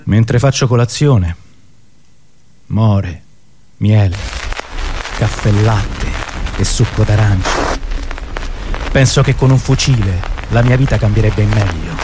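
A man's voice: lowish voice, slow pace, pauses often, heavy background noise. A man performing a scripted, dramatic literary monologue for radio. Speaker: radio show author and performer. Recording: music bed behind the voice. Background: music.

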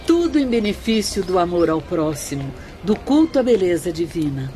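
A devout, serene female spirit guide in a radio drama. Her voice sits fairly low and she instructs formally and reverently over faint music. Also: very animated, moderate pace, warm tone.

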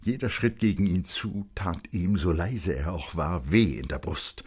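Deep husky guy reading a literary story for radio, dramatic n suspenseful, really expressive. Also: measured pace. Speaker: narrator, reader.

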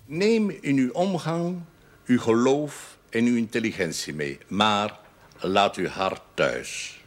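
Weighty church superior, talks real slow, melodic rise and fall, admonishing, 70s tv drama. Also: male voice, medium pitch, expressive. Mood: grave concern. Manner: deliberate, weighty. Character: measured, admonishing church superior advising a priest. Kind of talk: 1970s television drama dialogue.

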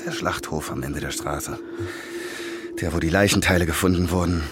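A man's voice: sits low, steady tone, normal speed, very noisy, lightly acted. A man playing a determined, cautious police inspector in a German radio drama, purposeful and commanding.

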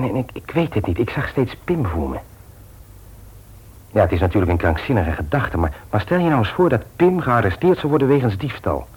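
A man's voice: on the low side, darkish tone, husky, exaggerated voice, low-quality recording. A male radio play actor playing a conscientious family man, speaking naturally, hesitantly and earnestly. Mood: troubled, earnest. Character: conscientious, troubled family man and office worker, jovial host. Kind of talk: naturalistic Dutch radio drama acting.

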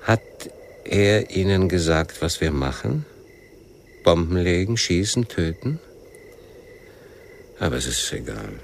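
An actor playing a world-weary, shrewd guerrilla captain who questions coolly and deliberately in a radio crime drama. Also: male voice, low-pitched, sing-song, creaky, a little hiss or hum, full character voice. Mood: weary, cynical. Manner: measured interrogation with abrupt probing questions.

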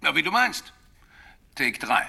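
A man's voice: fairly high pitch, sing-song, unhurried, gravelly, full character voice. An actor playing a patient, dryly indulgent recording producer in a radio play, laconic in his delivery. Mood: dry, resigned. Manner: dry, laconic.

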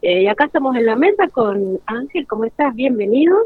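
A woman's voice: on the high side, expressive, fairly quick, no room sound. A woman with an Argentine accent works as a radio host, doing live interviews on a remote broadcast. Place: school event, remote broadcast. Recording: dull lo-fi radio broadcast link.

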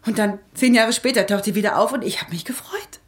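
A woman's voice: mid-range voice, fairly quick, natural voice. A woman playing a defensive, bitter, wounded suspect under questioning in a naturalistic radio drama. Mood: hurt, bitter.

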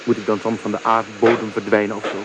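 A man's voice: fairly low pitch, monotone, fast, noisy background, close to an everyday voice. An actor playing a stubborn, guarded young man in a radio drama, terse and defensive with his words. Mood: guarded, defiant. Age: young adult.

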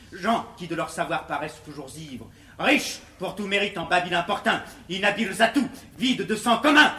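An actor playing an ardent, witty young gentleman suitor, declaiming verse with biting emphasis in a classical French stage comedy. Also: high-pitched. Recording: echoey, noisy vintage 1950s theatre recording.

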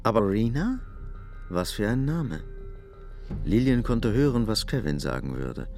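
Low-voiced male narrator over music. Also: big pitch swings, measured pace, darkish tone. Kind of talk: scripted literary audio drama narration. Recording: music bed behind the voice.

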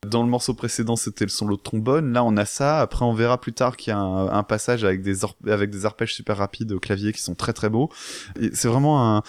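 A man's voice: medium pitch, no room sound, clean studio sound. A man co-hosting a music podcast, giving an enthusiastic, casual album review with hesitant phrasing.

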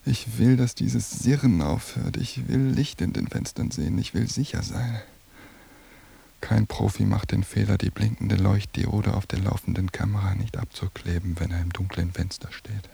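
A brooding surveillance agent thinking to himself in a radio play. His voice is dark and very husky, heavy with fry. The delivery is terse, bitter and obsessive, seething underneath. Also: fairly low pitch, steady tone, normal speed, full character voice. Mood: restless, seething. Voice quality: gravelly, husky. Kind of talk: radio drama interior monologue.

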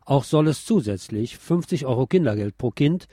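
A man's voice: medium pitch, expressive, moderate pace, mellow, breathy edge. A man presenting scripted news with commentary, explaining items in an opinionated way.

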